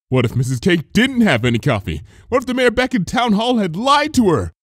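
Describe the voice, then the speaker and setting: A man reading a story aloud as a dramatic, expressive narrator. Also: fairly high pitch, dry recording, studio quality. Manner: theatrical, expressive. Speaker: fan fiction reader.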